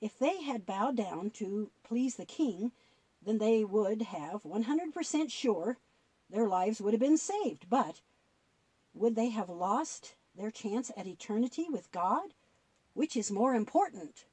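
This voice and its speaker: Expressive, slow-paced woman storyteller. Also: a little high, slightly rough, dry recording. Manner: expressive, instructive. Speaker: presenter of a children's Bible show. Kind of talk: storytelling dialogue with a puppet.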